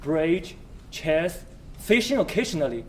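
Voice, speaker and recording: A man on stage playing a polite, hesitant older widower with a halting, formal, understated manner. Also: fairly high pitch, sing-song. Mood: puzzled, reflective. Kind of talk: stage play acting. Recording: roomy stage recording, faint noise.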